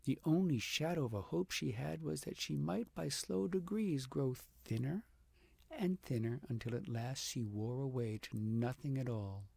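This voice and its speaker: A man narrates a children's fairy tale in a gravelly voice with plenty of fry. He leans on key words and stretches phrases for drama. The sound is dry and intimate, with no room around it. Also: mid-range voice, animated, normal speed, mellow, no echo. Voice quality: gravelly, slightly husky. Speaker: audiobook reader.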